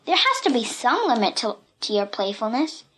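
A woman's voice: on the high side, very animated, normal speed, fairly bright tone. A woman voicing a plucky, cheeky little girl in a storybook, with a mock-innocent, politely breezy manner. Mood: teasing. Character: plucky, cheeky little red-headed girl, unafraid of bullies.

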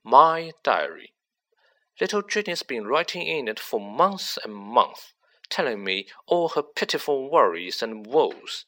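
A man voicing a cold, manipulative teenage boy sorcerer who talks softly and gloats. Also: medium pitch, sing-song, a little slow. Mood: gloating, silky. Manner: silky, soft and gloating.

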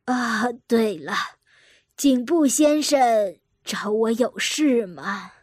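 A woman's voice: fairly high pitch, expressive, drawn-out words, a little mellow, no echo, clean studio sound, full character voice. An actress playing a polite, guarded elderly lady in an audio drama, asking careful, hesitant questions.

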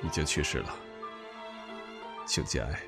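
Man doing grave calm doctor, super deep gravelly voice, audio drama. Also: a little slow, heavily acted. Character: sombre, steady male emergency doctor. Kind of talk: Chinese audio drama acting. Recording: music behind the voice.

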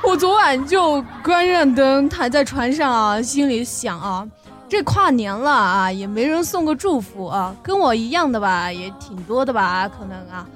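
A woman co-hosting a radio show with casual comic banter, self-mocking, animated and giggly. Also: a little high, moderate pace. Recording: music bed under the talk.

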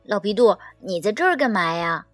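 A woman voicing an eager, naive little pet dog in a storybook, childlike, excitable and curious. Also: medium pitch, brisk, no echo, soft background music, lightly acted. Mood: puzzled.

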